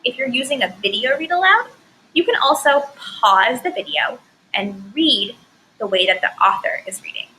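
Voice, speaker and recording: Expressive woman teaching young kids. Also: fairly high pitch, normal speed, slight background noise, rough recording. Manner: clear, expressive, encouraging teacher talk. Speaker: first grade teacher. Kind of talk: recorded classroom lesson.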